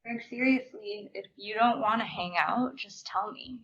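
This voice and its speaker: A woman's voice: medium pitch, a little slow, fairly bright tone. A woman playing an earnest, smitten teenage girl in a dramatic stage reading, speaking plainly. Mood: hurt, earnest. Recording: muffled, noisy, distant room recording.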